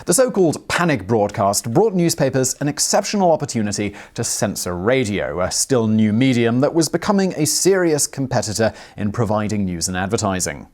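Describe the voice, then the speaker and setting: A man reading a scripted history narration, steady, with sudden rising emphasis on key phrases. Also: medium pitch, a little fast, hardly any pauses.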